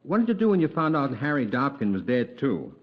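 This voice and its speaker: An eager, prodding museum visitor. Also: male voice, medium pitch, big pitch swings, fairly quick. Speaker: actor in radio drama. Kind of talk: 1950s radio drama acting. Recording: dull lo-fi 1950s radio recording.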